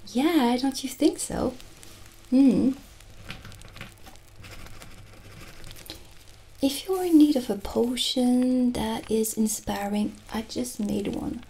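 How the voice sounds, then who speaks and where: Woman playing a slow, mellow, dreamy witch. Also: fairly high pitch. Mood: dreamy, musing. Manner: slow, musing, soothing, with pauses. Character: gentle, dreamy female witch shopkeeper selling potions. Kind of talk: improvised ASMR roleplay.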